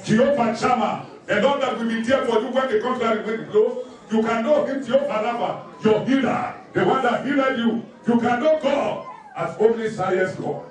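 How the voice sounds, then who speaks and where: A very echoey, distant recording of a man preaching. His voice is pitched extremely high, and he drives each point home with emphatic repetition, like a pastor instructing a congregation. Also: normal speed. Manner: emphatic, repetitive, numbered exhortations with scripture quotes. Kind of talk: sermon. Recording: very echoey room, distant microphone.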